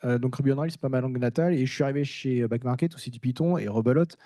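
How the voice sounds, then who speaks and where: Soft-toned man, candid and halting. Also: medium pitch, no echo, clean studio sound. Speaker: staff engineer speaking on a panel. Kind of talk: informal first-person conference talk.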